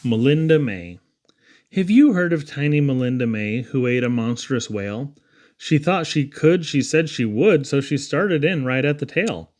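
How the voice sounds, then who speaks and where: A man reading children's poetry aloud in an expressive, theatrical storytelling style with dramatic emphasis. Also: mid-range voice, normal speed. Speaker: reader.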